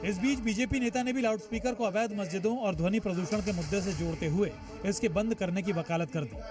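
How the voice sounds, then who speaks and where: A man's voice: high-pitched, a little fast, music in the background. An Indian man reading a scripted news report as a reporter's voice-over. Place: voice-over with music bed behind.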